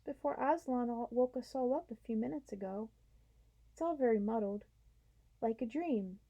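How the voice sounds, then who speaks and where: A woman voicing a gentle old cab horse in a storybook reading, who speaks slowly and thoughtfully. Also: high voice, pitched above the performer's own voice, rough recording. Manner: slow, puzzled and thoughtful.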